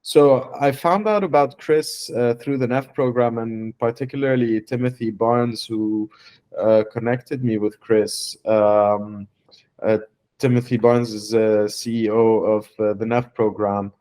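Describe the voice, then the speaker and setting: Halting young founder, many fillers. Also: male voice, mid-range voice, steady tone, mellow. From Lebanon. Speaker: startup founder. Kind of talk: testimonial interview.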